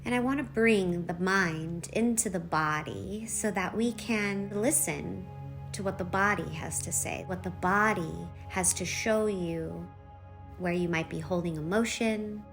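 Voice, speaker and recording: Woman, meditation guide, unhurried slow delivery, soft short phrases, long pauses, faint music behind. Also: on the low side, creaky. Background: background music.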